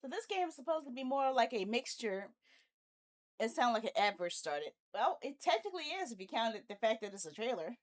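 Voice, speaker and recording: A woman's voice: fairly high pitch, big pitch swings, a little fast. A woman hosting a livestream, chatting casually and playfully and talking to herself as she sorts out her setup. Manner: playful, talks to herself while troubleshooting. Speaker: live streamer host. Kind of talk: casual livestream chat while setting up a game.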